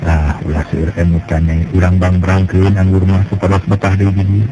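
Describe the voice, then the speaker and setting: A male storyteller voicing a young husband who gently comforts his wife with soothing, earnest words. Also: deep voice, completely flat delivery, a little fast, voice pushed down, close to an everyday voice. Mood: gentle comforting. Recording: music playing behind.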